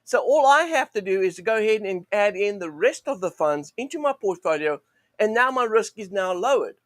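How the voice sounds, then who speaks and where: Croaky high-voiced man hosting a trading stream. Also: expressive, a little fast, fairly bright tone, no room sound, clean studio sound. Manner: rambling, many fillers and restarts, "go ahead and". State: croaky, sore throat. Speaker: host of a live forex trading show. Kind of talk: live-stream teaching monologue.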